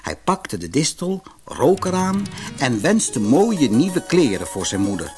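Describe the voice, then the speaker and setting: A man reading a scripted fairy tale for children as an expressive narrator. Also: medium pitch, measured pace. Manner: expressive storytelling. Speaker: storybook narrator. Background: music.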